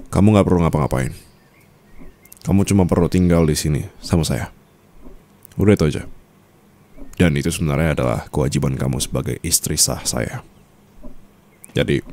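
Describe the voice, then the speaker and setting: A man playing a cool, controlling, teasing mafia boss husband in an ASMR romantic roleplay, speaking in a measured, formal, coaxing way. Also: low-pitched, mellow. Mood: smug, persuasive. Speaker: voice actor.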